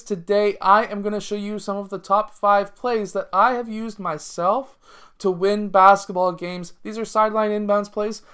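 A man's voice: high voice, a bit bright, smooth. A man, a basketball coach talking through plays step by step in a casual instructional way. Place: small indoor room at home.

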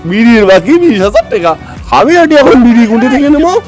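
A man's voice: very high voice, big pitch swings, fast, over music. A man voicing a scolding, scheming middle-aged village father in a comic cartoon, grumbling and threatening in a Bogra accent from northern Bangladesh. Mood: irritated. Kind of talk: comic cartoon dubbing in rural dialect.

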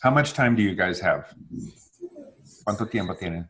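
A man's voice: sits low, moderate pace. A man in his fifties leading a casual bilingual language session on a video call from a home room, mixing English and Spanish and correcting himself as he goes. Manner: mixes English and Spanish, relaxed, self-correcting. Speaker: language exchange facilitator. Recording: faint noise on a video call.

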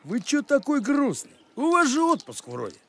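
A man voicing a goofy, defensive talking dog in an animated cartoon, with a colloquial, blurting way of talking. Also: very high voice, normal speed, slight background noise, heavily acted. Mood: friendly.

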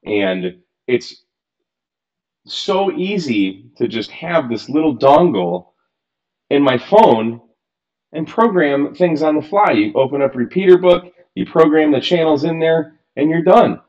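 A man's voice: fairly high pitch, expressive, measured pace, a little mellow, dull audio. A man in his thirties walking through a radio programming demo in a casual, frank way, with exasperated asides, recorded at a home workbench. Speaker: radio gear reviewer. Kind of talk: casual screen-recorded tutorial.

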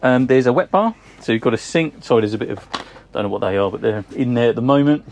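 An English man in his forties, speaking at an average pitch, gives an easygoing walkthrough of a boat. He talks in a chatty, conversational way, full of ums, while moving about the deck outdoors in a marina. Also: quick pace, a little hiss or hum. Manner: relaxed, chatty, frequent ums, pointing out features. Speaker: boat sales presenter. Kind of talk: casual walkthrough tour.

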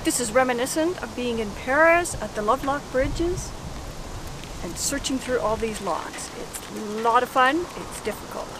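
A middle-aged woman with a high voice tosses in brief, cheerful remarks while hiking. She stands a few steps from the camera outdoors, so her voice sits back, with clear ambient noise all around. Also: animated, moderate pace. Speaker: geocaching partner. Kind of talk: casual remarks during a hike. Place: outdoors on a bridge and wooded trail. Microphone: camera held by someone else, a few steps off.

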